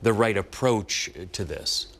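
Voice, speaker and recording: A man's voice: on the low side, lively intonation, takes it slowly, gravelly, a bit bright. An American man hosting a morning television show, interviewing a guest in a conversational broadcast style.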